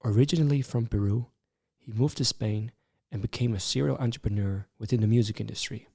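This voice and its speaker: A man hosting a podcast, reading a measured prepared introduction and asking interview questions. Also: on the low side, gravelly, a little mellow, husky. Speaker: podcast host.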